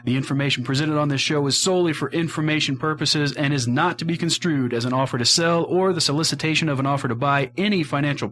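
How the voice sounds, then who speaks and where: A man's voice: a little high, fairly bright tone. A man hosting a financial radio show, reading scripted announcements and promos. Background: guitar music.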